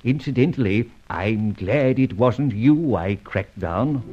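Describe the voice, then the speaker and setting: An actor playing a suave gentleman adventurer and secret vigilante in an old radio serial, with an urbane, wry, formally polite manner. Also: mid-range voice, expressive, takes it slowly, over loud music. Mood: brisk, courteous. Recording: dull, lo-fi old radio recording.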